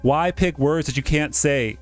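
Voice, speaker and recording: Guy doing casual game playthrough chat, kinda halting, bit of music behind him. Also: on the high side. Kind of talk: casual game commentary, reading text aloud.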